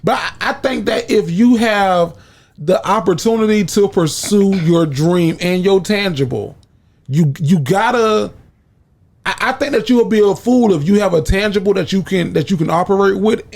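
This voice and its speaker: Young host, high voice, rambling, restarts a lot. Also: male voice, normal speed, vocal fry. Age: 20s. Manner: rambling, restarts often, laughs easily. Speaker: podcast host. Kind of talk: casual podcast chat.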